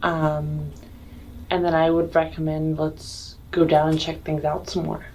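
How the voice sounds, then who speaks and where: A woman playing a tabletop roleplaying game, speaking casually in a deliberate, matter-of-fact way. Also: deep voice, flat delivery, a little mellow, poor audio. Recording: background noise.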